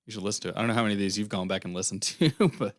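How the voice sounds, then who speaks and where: Young male podcast co-host, low and slightly hoarse, casual rambling delivery, dry close sound. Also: fairly even intonation, very fast, dry recording. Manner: rambling recap with fillers. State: losing his voice, airy. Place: small podcast room.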